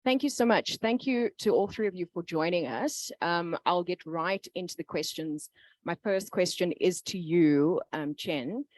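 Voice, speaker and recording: A woman's voice: lowish voice, a little slow, darkish tone, dry recording. A woman moderating a business panel, polite, with frequent "um".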